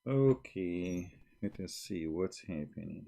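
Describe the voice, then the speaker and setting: A man's voice: deep voice, expressive, slow pace, gravelly, dark tone. A man hosting a live stream, challenging and blunt, speaking broken English into a desk microphone. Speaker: livestream host. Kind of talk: argumentative live-stream talk.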